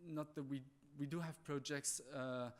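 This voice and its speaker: Halting man with a French accent. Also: fairly high pitch, steady tone. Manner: halting, polite and apologetic. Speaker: conference speaker, animal welfare manager. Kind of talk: conference presentation.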